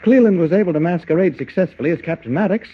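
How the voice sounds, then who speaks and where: An actor playing an eager, quick-witted newspaper reporter hero in 1940s radio drama style. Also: male voice, sits high, animated. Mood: eager, persuasive. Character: eager, resourceful male newspaper reporter, mild-mannered hero. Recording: muffled 1940 radio recording.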